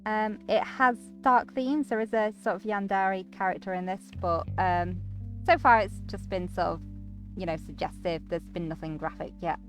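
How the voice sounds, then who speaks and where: A woman's voice: mid-range voice, normal speed, faint music behind. A woman streaming a game, chatting casually to viewers as she plays. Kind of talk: casual livestream commentary.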